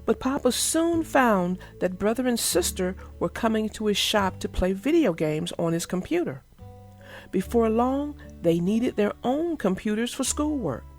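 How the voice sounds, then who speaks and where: A woman reading a children's storybook aloud as narrator, in an expressive storytelling manner. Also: on the high side, a little slow, faint music behind. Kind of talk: scripted storybook read-aloud.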